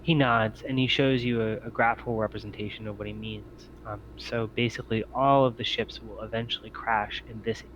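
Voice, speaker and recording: A man narrating a tabletop roleplaying game as game master, with a halting, hesitant delivery. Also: on the low side, steady tone, fairly bright tone, dull audio. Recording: faint background noise.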